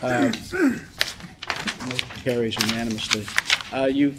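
A man's voice: medium pitch, big pitch swings, a little slow, creaky. An American man chairing a formal meeting, courteous and procedural, with hesitant phrasing. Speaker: board chairman. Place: large conference room with long table. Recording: loud background noise and clicks.

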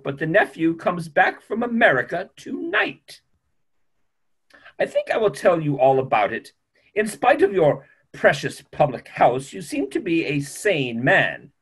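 Grumpy, opinionated older male doctor played by a man, theatrical, emphatic, very animated, slightly high pitch. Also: slightly rough, exaggerated voice. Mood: confiding. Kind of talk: theatrical play reading. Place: small home room on a video call.

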